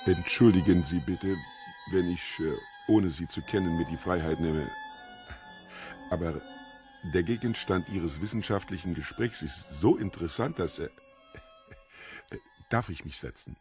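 A man playing an eerily courteous, slyly delighted foreign gentleman of about forty in a radio play, with husky voice and savoring, hesitant politeness. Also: low voice, measured pace, pauses often, soft background music. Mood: polite, hesitant.

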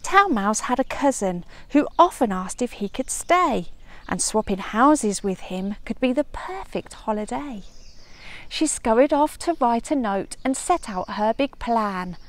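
A middle-aged woman reading a children's storybook aloud outdoors in an expressive, measured teacher's way. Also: a little high. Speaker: early years teacher. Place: outdoors in a leafy woodland garden.

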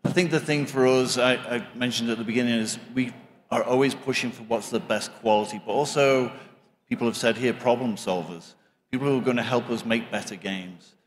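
A man's voice: mid-range voice, brisk. A man in his fifties making informal remarks as an industry panelist into a handheld microphone. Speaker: panelist, game industry executive. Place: conference stage. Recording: reverberant hall stage sound.

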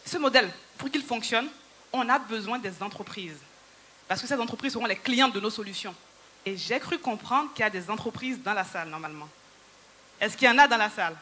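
Bright, persuasive woman on stage. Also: on the high side. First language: Yoruba. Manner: persuasive, rallying, addresses the audience directly. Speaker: entrepreneur and speaker. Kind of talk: stage talk to a conference audience. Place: large conference hall on a stage. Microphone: handheld microphone.

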